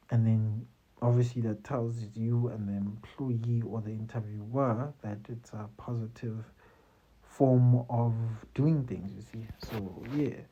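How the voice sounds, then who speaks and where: A man's voice: medium pitch, dark tone, husky, highs cut off. A man hosting casual career-advice talk, conversational and repetitive, often tagging his points with "you see" and "man". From South Africa. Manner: conversational, repeats phrases, tags lines with "you see" and "man". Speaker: host giving career advice.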